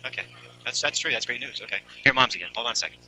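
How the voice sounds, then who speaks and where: A middle-aged father in an earnest, halting family conversation, repeating himself and pleading on a noisy recorded jail call. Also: medium pitch, lively intonation, pushed and bright.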